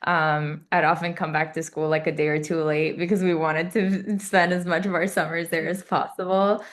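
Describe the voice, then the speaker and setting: Low-voiced chatty young woman. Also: little pitch movement, normal speed. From Kosovo. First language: Albanian. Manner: chatty, laughs often, frequent "like" and "um". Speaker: guest. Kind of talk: casual interview chat.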